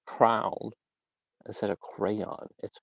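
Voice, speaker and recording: A man's voice: on the low side, level tone, takes it slowly, slightly rough, dry recording. A young man from Georgia in the US South, casually rambling on a livestream with lots of fillers and little laughs. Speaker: livestream host. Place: outdoors.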